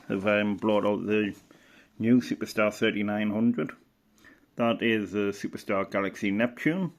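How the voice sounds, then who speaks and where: A middle-aged man giving a casual, rambling show-and-tell as a hobbyist, hesitant and thinking aloud. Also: on the low side. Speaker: radio hobbyist presenter.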